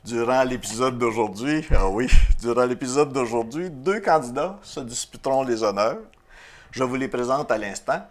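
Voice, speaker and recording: A man's voice: medium pitch, lively intonation, normal speed. Man, Québécois accent, game show host, chatty, self-deprecating, middle-aged. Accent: Québécois French. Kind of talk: casual game show hosting.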